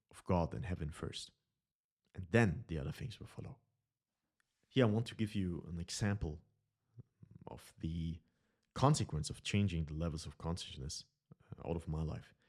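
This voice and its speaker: Man, German accent, very low voice, earnest mentor, self-correcting, teaching over slides. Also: darkish tone. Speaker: consciousness mentor.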